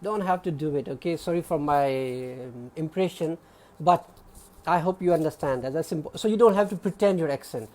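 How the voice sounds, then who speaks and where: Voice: mid-range voice, brisk, a little mellow. An exam prep teacher with a Pakistani English accent, giving a live online class in an explanatory way and often checking in with the students. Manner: explanatory, checks in with frequent "okay?"; addresses students by name.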